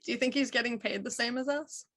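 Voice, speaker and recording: A woman's voice: fairly high pitch, fast, a bit bright. A woman, an actor, chatting in loose, casual banter. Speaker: actor on the show.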